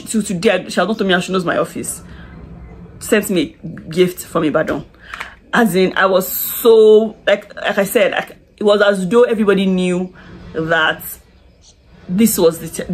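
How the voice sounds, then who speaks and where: A woman's voice: on the low side, a little hiss or hum. A woman, chatting confidingly to the camera while doing her makeup in a small room. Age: 20s. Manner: chatty, confiding, lots of restarts and "like". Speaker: beauty vlogger. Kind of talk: casual get-ready-with-me chat. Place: small indoor room with curtains. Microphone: camera in front at close range.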